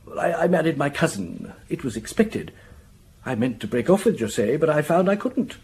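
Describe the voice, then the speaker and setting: A sentimental, respectable married man overcome by emotion in a radio drama. The voice is fairly high and very husky, swinging widely as he falters and pleads. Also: sing-song, normal speed, darkish tone, full character voice. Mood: distraught, grieving. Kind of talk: BBC radio drama acting.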